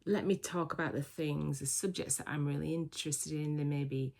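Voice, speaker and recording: A woman's voice: low voice, fairly even intonation, fairly quick, creaky, darkish tone. A woman in her sixties from the UK, chatting casually and reflectively to camera on her vlog, with filler words and restarts. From United Kingdom. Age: 60s. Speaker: vlogger.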